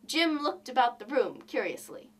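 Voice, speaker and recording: A woman reading a classic short story aloud with theatrical, deliberate emphasis. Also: sits high, sing-song. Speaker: reader of literature. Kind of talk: expressive audiobook narration of a classic short story.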